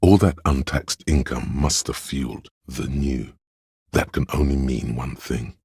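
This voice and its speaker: A man voicing a pompous, erudite inner voice of artistic imagination in a video game, deliberate and theatrical, with a gravelly, husky voice. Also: rumbling low, a little mellow, no room sound, studio quality, exaggerated voice. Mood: musing.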